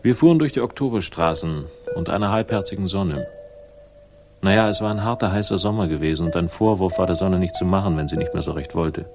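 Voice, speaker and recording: A man's voice: deep voice, fast. An actor playing a wry, world-weary male private investigator, narrating dryly in a 1960s German radio crime drama. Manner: dry, wry, ironic. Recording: music behind the voice. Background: music.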